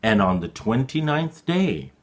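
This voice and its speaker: A man reading a story dramatically, with deliberate, clearly phrased and expressive delivery for learners. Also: medium pitch.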